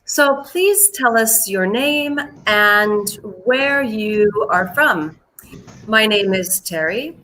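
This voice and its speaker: An American woman from Seattle teaching English on a live video call, chatty and joking, slowing down when she greets her students. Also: medium pitch, animated, sharp tone, a little hiss or hum. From United States, Seattle. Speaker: English teacher hosting a live online class. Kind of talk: live online lesson, chatty.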